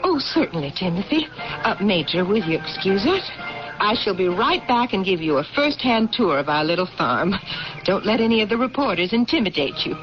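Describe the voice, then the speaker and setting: An actress playing a gracious, self-assured general's wife in an old-time radio drama, poised, genteel and firm. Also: female voice, mid-range voice, sing-song, moderate pace, over music, natural voice. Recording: muffled, noisy old radio broadcast recording.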